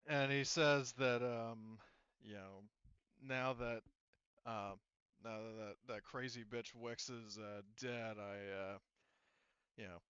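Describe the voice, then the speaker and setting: A man speaking as a game master, explaining the story in a halting, conversational way. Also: medium pitch, steady tone, a bit bright, clean tone, dry recording, highs cut off. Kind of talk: improvised tabletop roleplay narration.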